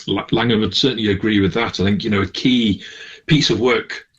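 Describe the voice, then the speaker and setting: On a somewhat dull recording, a man answers as an interview guest. His voice is fairly low and a little husky, and he explains in a conversational, halting way. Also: steady tone, a bit bright. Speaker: interview guest, charity manager.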